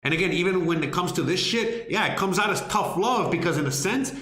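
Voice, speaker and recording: A man's voice: high voice, animated, a little fast, bright, forceful tone. A man from Miami hosting a live question-and-answer stream, blunt and profane, rambling with lots of "you know what I mean.". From Miami, United States. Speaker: livestream host answering viewer questions. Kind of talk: casual live Q&A chat. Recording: roomy echo.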